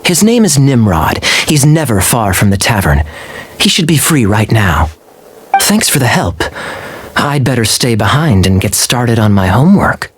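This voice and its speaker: Game role: a man as a wry young knight captain, mid-pitched and animated, courteous smooth talker, loud music underneath. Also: normal speed, slight background noise, natural voice. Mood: casually persuasive. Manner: smooth-talking, wry, polite. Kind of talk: video game voice acting.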